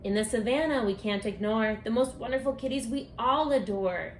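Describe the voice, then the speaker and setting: A woman reading a rhyming picture book aloud for children, speaking expressively and deliberately, straight to her young listeners. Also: on the high side. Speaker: children's book author and read-aloud presenter. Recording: echoey room with faint background noise.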